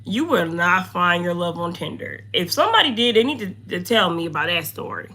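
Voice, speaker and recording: A young woman with a hoarse voice chatting casually with her viewers while reading their comments. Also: very animated, fairly quick, pushed and bright, slight background noise. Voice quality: low, slightly deep voice. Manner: chatty, talks to viewers, drifts off topic. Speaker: makeup streamer.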